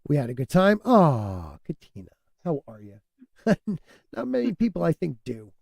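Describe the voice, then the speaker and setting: A man hosting a casual podcast, stuffed up and losing his voice, talking in an animated, opinionated way and laughing often. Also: on the high side, normal speed, creaky, dry recording, clean studio sound. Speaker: podcast host.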